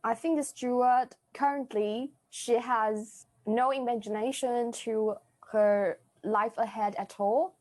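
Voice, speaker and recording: A woman answering as a podcast guest, explaining deliberately and searching for words as she goes. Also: medium pitch. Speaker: podcast guest, amateur actor.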